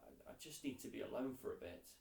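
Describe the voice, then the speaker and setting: Man reading as a subdued, creaky-voiced, downcast dad. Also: medium pitch, animated, fast talker, a little mellow. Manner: subdued, hesitant. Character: downcast, withdrawn father, drinking alone on his birthday. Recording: roomy echo, distant mic.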